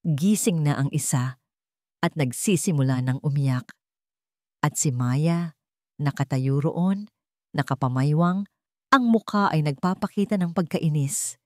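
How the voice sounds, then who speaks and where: A woman storyteller reading a scripted drama in deliberate, short dramatic phrases. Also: lowish voice, no echo, clean studio sound.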